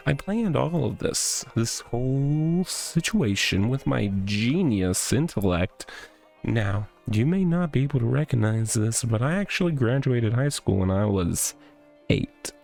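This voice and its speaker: A man voicing a smug, boastful tough guy from a visual novel, with pompous, drawn-out, theatrical delivery. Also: medium pitch, vocal fry, a little mellow, exaggerated voice. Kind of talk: visual novel character read aloud. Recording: music behind the voice.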